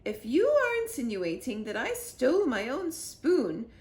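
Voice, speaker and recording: A woman's voice: high voice, huge pitch swings, a little slow, darkish tone, echoey room, voice pushed up, exaggerated voice. A woman voicing a prim, haughty English Queen, fussily polite and imperious, in a children's storybook reading. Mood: indignant, prim. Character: prim, haughty elderly Queen of England on telephone. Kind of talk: children's storybook read-aloud.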